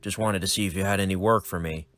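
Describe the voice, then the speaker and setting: A man's voice: deep voice, steady tone, fast talker, fairly bright tone, faint music behind. A man playing a weary hitman dad. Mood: calm. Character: weary middle-aged hitman father, protective.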